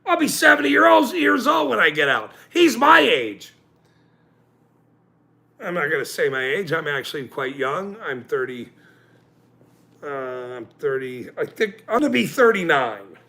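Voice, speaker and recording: Gravelly ranting man in his forties. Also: fairly high pitch, sing-song, a little slow. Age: 40s. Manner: angry, profane, with loud outbursts and self-mocking laughs. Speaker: channel host. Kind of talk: livestream rant.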